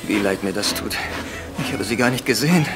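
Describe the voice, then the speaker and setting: An actor playing a polite, gently teasing Victorian gentleman husband in a German radio play. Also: on the low side, brisk, very noisy, close to an everyday voice. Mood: flustered, teasing. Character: well-mannered English-speaking honeymooning husband, gentleman, gently teasing.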